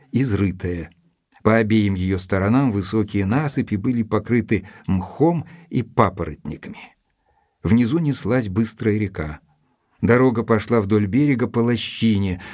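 An actor playing an observant gentleman doctor, narrating his adventure in measured, literary fashion for an audio drama. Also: fairly low pitch, slightly rough, no echo, studio quality. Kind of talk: scripted first-person narration in audio drama.

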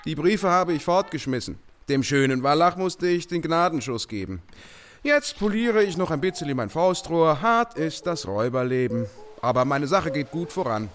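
A man's voice: on the high side, lively intonation, moderate pace, slight background noise, lightly acted. A man playing a boastful, callous robber captain who declaims a letter in flowery, old-fashioned words in a radio play. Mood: smug, cold-blooded. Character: boastful, callous male robber captain writing to his sweetheart.

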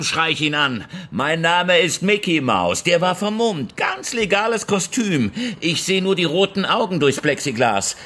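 An actor playing an injured, angry leftist militant in a radio drama, defiant, sarcastic and bitter. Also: male voice, high voice, sharp tone, heavily acted. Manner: sneering, sarcastic, volatile.

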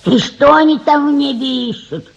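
An actress playing an old, plain-spoken housemaid with a folksy, grumbling manner in a radio play. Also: female voice, high voice, moderate pace, gravelly. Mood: grumbling, homely. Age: older. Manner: folksy, garbles learned words. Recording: dull radio drama recording.

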